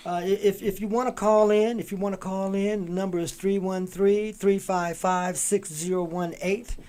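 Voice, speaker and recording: A man's voice: high-pitched, steady tone, normal speed, darkish tone, clean tone. A man hosting a live talk show, speaking casually with many fillers and restarts. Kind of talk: casual live-stream interview.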